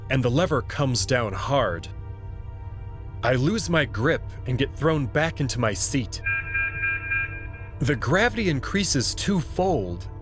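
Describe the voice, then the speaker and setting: A man narrating a first-person sci-fi story in a slow, measured reading. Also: mid-range voice.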